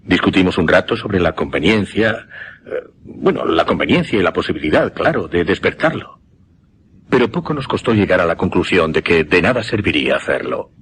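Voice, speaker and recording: An actor playing an intense mesmerist who recounts a macabre experiment in a suspense radio drama, with a Castilian accent and deliberate, emphatic delivery. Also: male voice, low-pitched, big pitch swings, a bit bright, a little hiss or hum. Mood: solemn, deliberate. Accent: Castilian Spanish.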